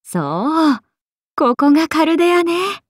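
High-voiced, breezy oni goddess. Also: female voice, very animated, takes it slowly, no room sound, clean studio sound, full character voice. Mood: breezy, teasing. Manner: chatty, teasing, laid-back slangy speech. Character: breezy, friendly, casual grown serpent-goddess oni woman. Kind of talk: game character dialogue.

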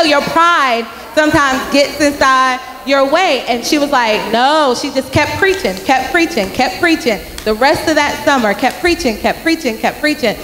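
A young woman giving a youth message at church, telling a story with lively acted-out voices into a handheld mic. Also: a little high, slight background noise. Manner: animated storytelling, acting out quoted voices. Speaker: youth speaker. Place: large church sanctuary with congregation. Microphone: handheld microphone. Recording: echoey sanctuary from a far camera.

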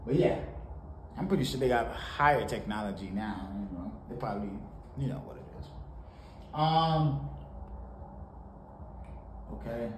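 A man from Haiti giving casual, animated reaction commentary on a song in a small home studio, talking to the camera. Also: mid-range voice, unhurried, pauses often. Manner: animated, preachy, sprinkled with laughs and "you know". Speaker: music reaction host. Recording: roomy home studio with faint noise.

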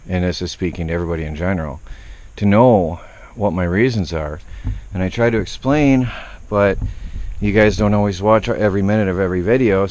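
A man's voice: on the low side, a little mellow. A man vlogging outdoors at his homestead, talking casually to viewers and explaining himself defensively as he works. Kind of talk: casual vlog talk to viewers. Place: outdoors in a rural yard by a wood shed.

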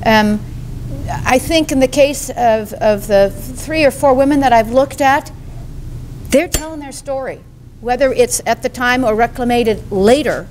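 A woman panelist at an academic conference, answering thoughtfully with halting restarts. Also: fairly high pitch, a little mellow, slight background noise. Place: hotel conference room.

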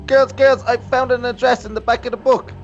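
A man's voice: very high voice, level tone, brisk. A man playing a cocky but wimpy, defensive young prince in a children's game roleplay. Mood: reassuring. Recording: dull lo-fi mic, faint music.

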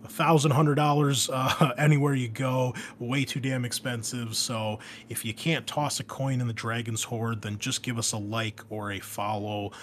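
A man's voice: medium pitch, flat delivery, normal speed, few pauses, a little hiss or hum. A man hosting a gaming podcast, making casual, enthusiastic announcements in an informal way. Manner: informal, enthusiastic, mild swearing. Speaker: podcast host and game master.

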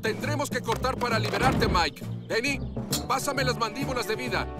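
An actor playing a calm, capable heroic firefighter in a Latin American Spanish cartoon dub. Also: male voice, very high voice, moderate pace, loud music behind the voice. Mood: focused.

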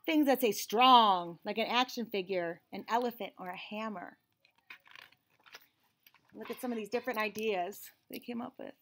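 A woman's voice: medium pitch, normal speed, a bit bright. An American woman in her forties reads a picture book aloud to young children, expressively, with friendly teaching asides. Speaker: read-aloud host and teacher. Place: small indoor room with shelves and cabinets.

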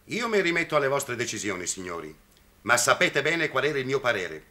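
A man, a skeptical lawyer in an old television drama. His very sharp, forceful voice is formal and deliberate, with animated intonation. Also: medium pitch. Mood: stern, formal. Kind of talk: 1960s Italian television drama acting.

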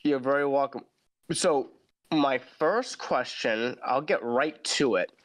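A man's voice: medium pitch, takes it slowly, a bit bright. A man co-hosting a podcast over a video call, playful and cocky, with a slightly scratchy voice. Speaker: podcast co-host.

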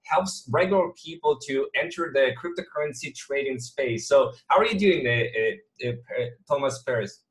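A man's voice: mid-range voice, moderate pace, poor audio. A young man whose first language is Hebrew, hosting an interview over a video call with eager, filler-heavy delivery. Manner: eager, lots of fillers, hyped greetings. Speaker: host. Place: small office with chair.

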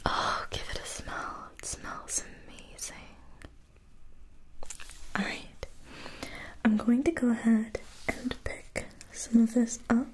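A young woman whispering gentle instructions in an ASMR spa roleplay, speaking slowly and softly to the listener. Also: fairly high pitch, fairly even intonation, low-quality recording. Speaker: ASMR creator. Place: indoors, small room with a floral backdrop.

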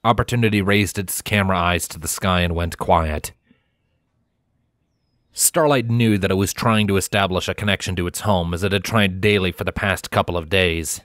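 Low-voiced man narrating a story, dry studio sound. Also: normal speed, a bit bright, no room sound. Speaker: audiobook-style fan fiction narrator.

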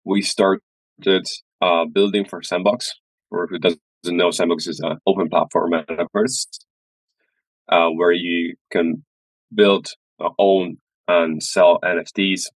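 Man, young adult, podcast guest, hesitant, very deep, dry sound. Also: no room sound, poor audio. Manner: hesitant, many uh fillers and repeats. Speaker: guest, studio founder. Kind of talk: casual interview. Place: small room indoors, video call. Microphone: headset.

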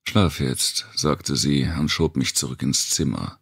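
A man narrating a post-apocalyptic thriller audiobook in the first person, with a measured, deliberate delivery and dramatic pauses. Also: a bass voice, creaky, fairly bright tone, husky, dry recording, studio quality.

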